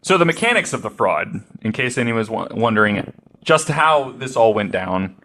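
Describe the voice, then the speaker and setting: A man's voice: on the low side, sing-song, moderate pace, pushed and bright, a little hiss or hum. A man hosting a podcast, reading out a true-crime account with joking asides. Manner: joking, laughs often. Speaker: podcast host.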